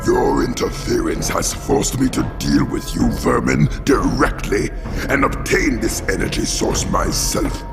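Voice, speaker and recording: An actor voicing an arrogant, cruel alien hybrid commander in a video game, with a gravelly, contemptuous delivery. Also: male voice, medium pitch, huge pitch swings, a little slow, exaggerated voice. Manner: contemptuous, menacing. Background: music.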